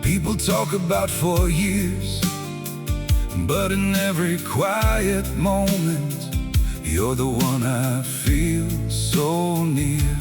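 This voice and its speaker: A woman singing a sentimental ballad, drawn out and gentle in style. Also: lowish voice, very slow, loud music behind the voice. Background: musical accompaniment.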